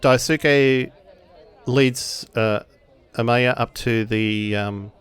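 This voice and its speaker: A man playing a tabletop role-playing game, haltingly narrating and speaking for characters as he searches for words. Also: medium pitch, fairly even intonation, clear, resonant tone. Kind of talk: improvised role-play narration.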